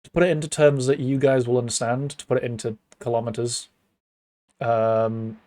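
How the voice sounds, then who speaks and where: A man's voice: mid-range voice, flat delivery, normal speed, dark tone, no echo. Giggly man hosting a chat podcast. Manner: giggly, laughs often between words. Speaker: podcast host. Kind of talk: casual online chat. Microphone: computer mic on a voice call.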